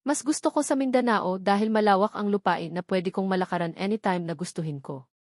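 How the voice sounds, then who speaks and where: A woman's voice: medium pitch, fairly quick, no echo, clean studio sound, close to an everyday voice. A woman with a Filipino accent voicing a kindly old grandfather who owns a farm, in an audiobook. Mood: friendly, homesick.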